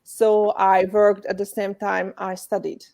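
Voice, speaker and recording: A woman's voice: mid-range voice, flat delivery, a little slow, creaky, darkish tone. Hungarian-accented woman on a video call. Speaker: guest speaker. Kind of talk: webinar interview.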